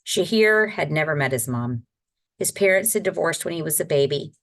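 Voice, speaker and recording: Woman with a low voice narrating a kids novel, read aloud from the page. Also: lively intonation, moderate pace, creaky, a bit bright. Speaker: guest reader. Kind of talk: scripted narration, reading a children's novel aloud.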